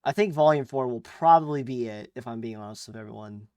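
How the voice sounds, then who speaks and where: A man's voice: medium pitch, quick pace, darkish tone, dry recording. A young man talks loosely on a podcast, stumbling over fillers and restarting his sentences. His voice sits in a middle range. The sound is close-miked and dry. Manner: laid-back, rambling with fillers and restarts. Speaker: podcast co-host. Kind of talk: casual chat.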